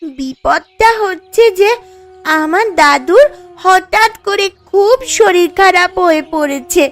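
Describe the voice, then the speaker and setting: A woman's voice: extremely high pitch, lively intonation, slow pace, a bit bright, heavily acted. An actress playing a troubled, pleading poor village girl in a cartoon story, plaintive and hesitant. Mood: worried pleading. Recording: music under the voice.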